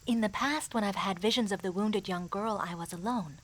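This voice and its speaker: A woman playing a grave mystic advisor in a fantasy audio drama, speaking formally, in an earnest and measured way. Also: medium pitch, slight background noise, close to an everyday voice.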